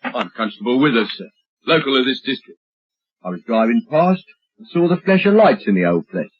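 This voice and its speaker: An actor playing an inquisitive, gossipy British village policeman with a husky voice and a matter-of-fact manner, in old radio drama style. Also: mid-range voice, very animated, a little fast. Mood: matter-of-fact, grim. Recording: dull old radio recording.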